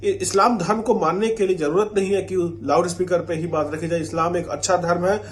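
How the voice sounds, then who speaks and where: A man's voice: sits high, steady tone, fairly quick. A man speaking as an interviewee, giving his opinion to the news media.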